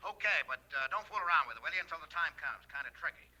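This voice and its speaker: An actor playing a tough, sly gangster in 1940s radio drama, giving clipped, slangy orders over a telephone. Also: male voice, on the high side, animated, rapid-fire, pushed and bright, low-quality recording. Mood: sly, businesslike. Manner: clipped, slangy, punctuated with "see?".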